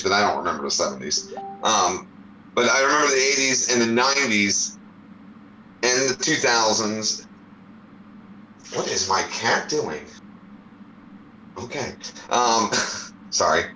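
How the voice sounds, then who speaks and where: A man's voice: on the low side, animated, a little slow, long pauses, bright, forceful tone, slight background noise. A man in his forties in a casual debate chat, explaining his points at length. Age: 40s. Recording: roomy echo.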